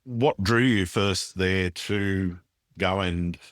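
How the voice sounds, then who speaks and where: A man's voice: sits low, takes it slowly, dry recording, clean studio sound. A man hosting a podcast, asking long winding questions and giving brief affirmations. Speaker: podcast host. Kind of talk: interview questions.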